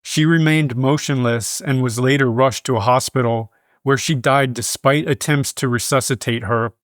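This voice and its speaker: A man reading scripted news in a measured, formal manner. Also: medium pitch, steady tone, dry recording, studio quality. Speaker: news reader.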